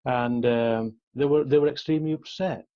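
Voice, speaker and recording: A man's voice: mid-range voice, normal speed, muffled recording. A middle-aged man with a Yorkshire accent speaking earnestly and conversationally as an interview guest, often adding "you know" and "sort of". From Yorkshire, England. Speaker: interview guest, police officer.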